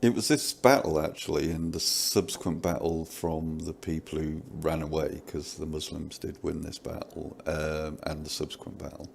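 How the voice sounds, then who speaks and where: A man's voice: a bass voice, steady tone, moderate pace, creaky, hardly any pauses, a little hiss or hum. A man commenting in a casual critical discussion with a dry, understated manner. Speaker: panel commentator.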